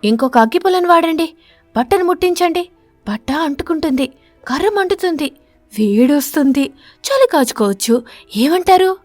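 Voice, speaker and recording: A woman voicing a stingy, crafty wife sparrow in an animated story, with a theatrical, scolding, self-satisfied manner. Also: sits high, normal speed, long pauses, no room sound, faint music behind, voice pushed up. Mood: bossy, insistent.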